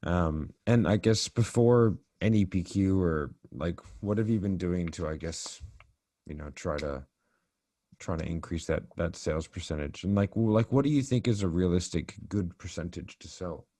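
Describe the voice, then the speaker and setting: Really deep voiced guy on a sales call, asking probing questions, casual coaching chat. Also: normal speed, warm tone, clean studio sound. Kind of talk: consultative sales call conversation. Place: recorded call, roomy sound. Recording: roomy call recording.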